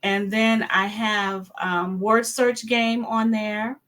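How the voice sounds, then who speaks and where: A mature woman speaking as an interview guest in casual chat, halting and repeating words as she explains. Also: mid-range voice, flat delivery, a bit bright. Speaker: interview guest, children's author.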